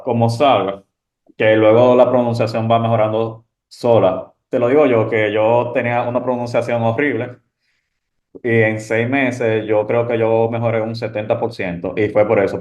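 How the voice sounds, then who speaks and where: A man's voice: medium pitch, steady tone, normal speed, darkish tone. Encouraging Dominican man, roomy muffled audio. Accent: Dominican Spanish. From Dominican Republic. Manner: upbeat, encouraging advice-giving. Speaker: incoming medical resident. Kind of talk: casual panel chat.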